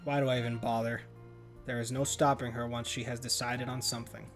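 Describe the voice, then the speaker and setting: A man's voice: medium pitch, level tone, brisk, faint music behind. A man reading visual novel narration aloud as a let's player, occasionally stumbling and rereading words.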